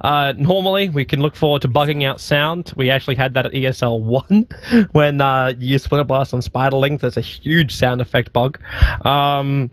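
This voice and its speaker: A man's voice: medium pitch, moderate pace, dry recording. A man casting an esports draft in a studio, joking and teasing his co-caster. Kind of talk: casual draft commentary.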